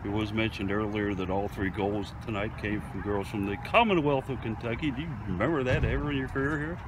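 Fast-talking male interviewer, quick questions from the field, noisy outdoor sound. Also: lowish voice. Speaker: team media reporter.

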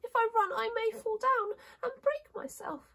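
A woman's voice: extremely high pitch, normal speed, vocal fry, fairly bright tone, voice pushed up, exaggerated voice. A woman voicing a dainty, prim little china princess in a storybook, with a small, timid voice. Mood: frightened. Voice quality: tiny, frightened little voice. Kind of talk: storybook character voice.

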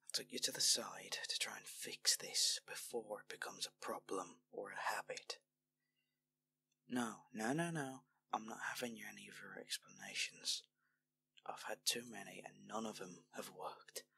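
A man playing a weary, firm but kindly army general with measured authority in a scripted roleplay audio drama. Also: on the low side, vocal fry, sharp tone, exaggerated voice. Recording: noisy home mic with hum.

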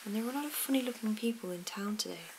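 A woman's voice: medium pitch, animated, very fast, close to an everyday voice. A nervous, stuffy middle-aged husband, whispered by a woman reader. He hesitates and mumbles as he fishes for news. The recording hisses and clicks underneath.

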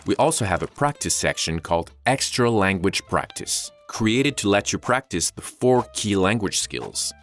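A man giving scripted promotional narration for a language course in a smooth announcer delivery. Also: fairly low pitch, sing-song, measured pace, hardly any pauses. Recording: voice-over with soft background music.

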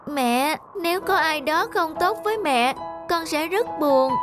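A woman's voice: high-pitched, animated, a little slow, over music. A woman voicing a naive, outspoken little girl in a moral-tale cartoon, with a childlike, blunt manner. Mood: contrite.

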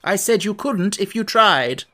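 A man's voice: sits high, expressive, measured pace, bright, forceful tone, voice pushed up, full character voice. A man voicing an imperious, impatient, scolding queen in a storybook reading, emphatic and bossy.

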